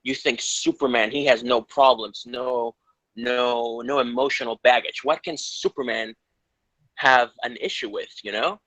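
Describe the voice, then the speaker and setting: A man's voice: mid-range voice, steady tone, unhurried, a bit bright, dry recording. A man from Ecuador, earnest and rambling, answering questions in a casual interview. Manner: earnest, rambling, lots of restarts. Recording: dull lo-fi sound.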